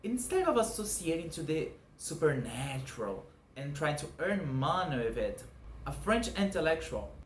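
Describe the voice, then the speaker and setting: A man in his twenties from Brazil with a Brazilian Portuguese accent, whose first language is Portuguese. He hosts his own channel and explains a doctrine in an earnest, measured way, going through points one by one. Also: on the high side, big pitch swings. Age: 20s. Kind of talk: scripted explanatory monologue. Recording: echoey room with faint background noise.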